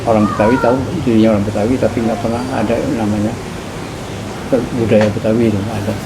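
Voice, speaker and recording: A man in his seventies with a Jakarta accent, recounting his memories slowly and deliberately in an interview at home. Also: fairly low pitch, flat delivery. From Indonesia. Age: 70s. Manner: measured, deliberate storytelling. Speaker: interviewee, linguist. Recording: loud background noise.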